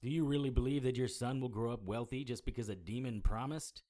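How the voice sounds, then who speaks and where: An actor playing a hard-boiled, sardonic occult investigator in a noir audio drama, blunt and world-weary. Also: male voice, medium pitch, fairly even intonation, a little fast, mellow, natural voice. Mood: scornful, exasperated.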